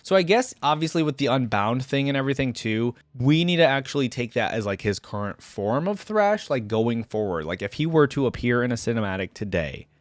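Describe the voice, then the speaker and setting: A man's voice: medium pitch, lively intonation, brisk, few pauses, no echo. Man reacting casually, close dry sound. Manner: conversational, thinking aloud. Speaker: reaction video host. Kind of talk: casual reaction commentary mixed with reading aloud.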